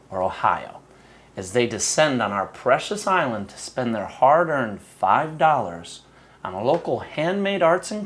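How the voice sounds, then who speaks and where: A male anchor in his thirties reads parody news in a dry, deadpan newsreader manner. His pitch swings widely as he leans into each punchline. He is recorded close on a lapel mic in a small studio. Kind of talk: mock news broadcast.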